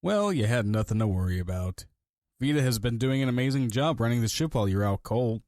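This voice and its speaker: A man's voice: mid-range voice, animated, fairly quick, a little mellow, dry recording, studio quality, lightly acted. A man playing a cocky, loyal crew member in a sci-fi fan roleplay. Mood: warm, relieved. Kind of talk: scripted fan audio roleplay.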